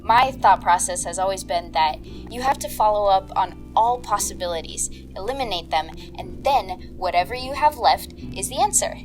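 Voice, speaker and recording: A woman plays a methodical private investigator in an audio drama. Her mid-pitched voice is casual and confident, laying out her reasoning step by step over faint music. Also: animated, normal speed, hardly any pauses, close to an everyday voice, rough recording. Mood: matter-of-fact. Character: methodical, open-minded female private investigator.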